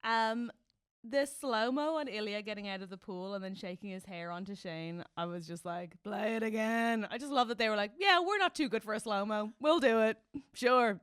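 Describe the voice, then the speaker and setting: A woman's voice: medium pitch, normal speed, clear, resonant tone, dry recording. An Irish woman co-hosting a podcast, chatting casually and jokily in a studio, often laughing. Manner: animated, jokey, laughs often. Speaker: podcast co-host. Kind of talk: casual chat.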